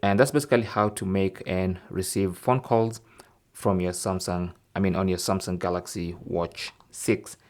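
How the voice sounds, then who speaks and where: A man with a low-pitched voice hosts an instructional tech tutorial. He explains things step by step, often checking that the listener is following along. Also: steady tone, moderate pace. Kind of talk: instructional tech tip narration.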